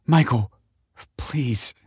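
Man voicing a tearful, pleading wife, pitched up. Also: a little fast, no echo, studio quality, natural voice. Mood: begging. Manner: pleading, self-justifying, emotional. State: tearful, sniffing. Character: distraught, defensive wife pleading tearfully with her husband.

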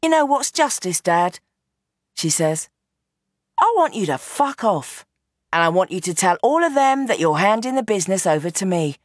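A woman's voice: mid-range voice, very animated, moderate pace, no echo, studio quality. A woman voicing a hard, commanding young woman taking over a crime family, fierce and defiant.

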